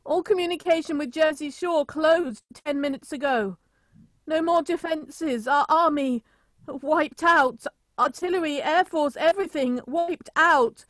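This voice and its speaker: A woman playing a grave, solemn radio reporter giving a deliberate eyewitness account in a radio drama. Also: sits high, fairly bright tone, no echo. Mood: grave, resigned. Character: grave radio reporter narrating a city's destruction from a rooftop. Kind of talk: radio drama acting, live news report.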